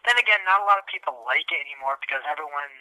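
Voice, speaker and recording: A man's voice: a little high, animated, very fast, sharp tone, no room sound, low-quality recording. A young man co-hosting a podcast, ranting casually and comically in an exasperated, hyperbolic, profane way. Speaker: podcast co-host.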